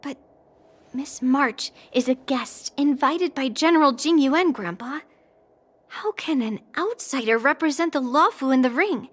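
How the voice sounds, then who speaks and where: Proud young fighter, indignant, kinda forceful. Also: female voice, high-pitched, lively intonation, measured pace. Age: young adult. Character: young woman, proud and protesting. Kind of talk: game cutscene voice acting.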